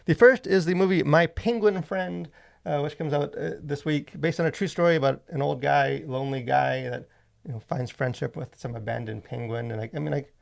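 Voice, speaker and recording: A man with a fairly high voice and plenty of vocal fry hosts a casual podcast. He rambles along with enthusiasm, peppering his sentences with filler words like "like". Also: brisk. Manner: rambling, enthusiastic, heavy use of "like". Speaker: podcast host and movie reviewer.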